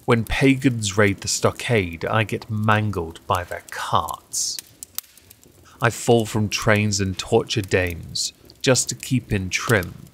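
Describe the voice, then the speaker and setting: A man with a fairly deep voice recites poetry at a very slow pace. His phrasing is deliberate and theatrical, with measured pauses. Some noise sits behind the recording. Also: fairly bright tone. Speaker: poetry reader and channel host. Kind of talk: measured dramatic poetry reading. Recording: clear background noise.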